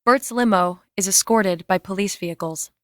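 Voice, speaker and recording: A woman's voice: medium pitch, normal speed, dry recording, clean studio sound. A woman reading scene and camera directions as scripted narration.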